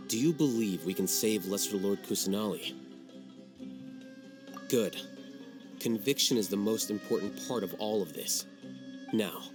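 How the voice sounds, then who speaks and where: A man playing a composed, aloof young scholar in a video game, speaking in a measured, matter-of-fact and articulate way. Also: lowish voice, natural voice. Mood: cool, businesslike. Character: composed, aloof young male scholar, calculating and self-assured.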